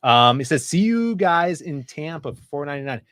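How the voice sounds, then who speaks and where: A man's voice: a little high, big pitch swings, moderate pace, fairly bright tone, dry recording, studio quality. Laid-back man reading stream chats. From Chicago area. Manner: laid-back, chatty, reads super chats aloud. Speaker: co-host of a comics livestream. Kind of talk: casual livestream chat.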